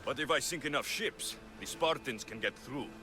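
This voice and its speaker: A man's voice: mid-range voice, normal speed, background noise, natural voice. A man voicing a confident young Greek mercenary hero in a game cutscene, talking in a cool, dry, self-assured way. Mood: steady. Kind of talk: video game cutscene acting. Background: orchestral score.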